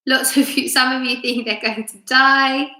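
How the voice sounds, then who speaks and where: Bright-voiced woman reading aloud in echoey room. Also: sits high, moderate pace. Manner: expressive, asks listeners questions. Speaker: teacher reading aloud to children on a live stream. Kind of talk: live story time reading with chat to listeners. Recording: roomy, echoey room sound.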